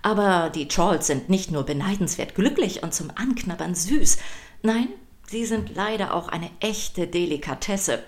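Low-pitched woman narrating for children. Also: lively intonation, a little slow. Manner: playful, emphatic storytelling. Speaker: podcast host and narrator.

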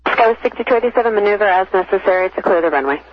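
A man's voice: high-pitched, animated, rapid-fire. A man working as an air traffic controller, talking to pilots over aviation radio in a courteous, explanatory manner. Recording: muffled aviation radio, faint static.

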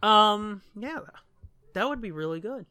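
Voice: mid-range voice, lively intonation, measured pace, sharp tone, no echo. A podcast co-host chatting casually and swearing freely. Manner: profane. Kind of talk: casual chat.

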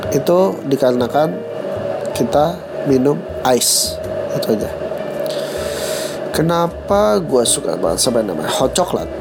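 A man's voice: medium pitch, sing-song, measured pace, pauses often, over loud music, poor audio. A young man with a stuffy nose from a cold and a Jakarta slang accent, hosting a casual solo podcast and joking at his own expense. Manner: joking, self-mocking, laughs at own jokes. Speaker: podcast host.

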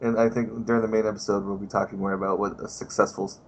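A man's voice: lowish voice, little pitch movement, fast talker, slight background noise. A young man guesting on a podcast, joking casually with lots of hesitant fillers.

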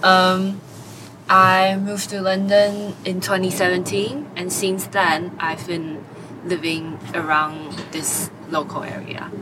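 A woman's voice: on the low side, little pitch movement, slow pace, noisy background. A woman in her twenties from Taiwan, answering interview questions hesitantly with many fillers. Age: 20s. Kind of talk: oral history interview.

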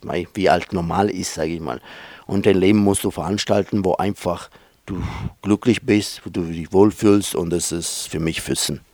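A man's voice: sits low, darkish tone, no echo. A man in his forties from Italy with an Italian accent, answering interview questions in a hesitant way with many fillers. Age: 40s. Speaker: interview guest.

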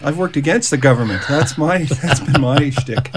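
A man's voice: medium pitch, moderate pace, noisy background. A middle-aged man from Canada, a guest on a radio talk show, talking about his work in a wry, easygoing way. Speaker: guest activist. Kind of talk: radio interview. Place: radio studio.

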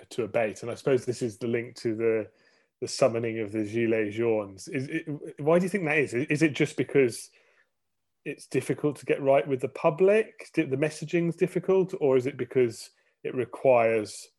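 A man's voice: medium pitch, a little mellow. A man hosting a policy podcast in a casual way, often stammering and repeating words as he asks his questions. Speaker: podcast host, research fellow.

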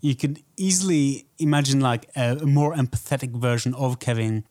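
A man's voice: medium pitch, moderate pace, darkish tone. A man co-hosting a film podcast, talking in a thoughtful, conversational way in a casual discussion.

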